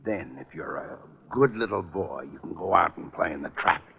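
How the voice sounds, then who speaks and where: An actor playing a sarcastic, exasperated police lieutenant with a gravelly voice in vintage radio drama style. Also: male voice, sits low, animated, moderate pace, heavily acted. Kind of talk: 1940s-50s radio drama acting. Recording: muffled old-time radio recording.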